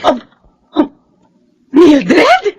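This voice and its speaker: An actress playing a sly, cruel, treacherous family friend in a Filipino melodrama, whiny, stammering and evasive. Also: female voice, high voice, wildly swooping pitch, drawn-out words, darkish tone, exaggerated voice. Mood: flustered, evasive.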